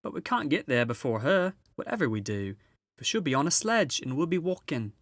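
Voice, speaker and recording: A man's voice: a little high, very animated, a bit bright, no echo, studio quality, pitched above the performer's own voice. A man voicing a bustling, unflappable, motherly female beaver in a storybook, with folksy, fussy talk. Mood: reassuring, bossy. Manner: folksy, coolly unhurried.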